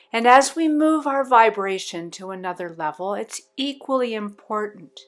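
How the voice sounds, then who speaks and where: A woman leading a guided meditation in a soothing, deliberate way with long pauses between phrases. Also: medium pitch.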